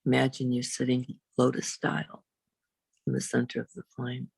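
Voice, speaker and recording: A woman reverend leading a guided meditation in a slow, soothing, unhurried way, with long pauses. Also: completely flat delivery, darkish tone, no room sound. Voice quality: low, husky, creaky.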